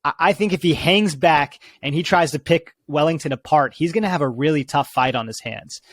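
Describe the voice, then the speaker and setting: A man's voice: a little high, brisk, a bit bright, dry recording, studio quality. Hesitant man thinking aloud about fights. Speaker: podcast co-host. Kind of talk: casual sports betting chat.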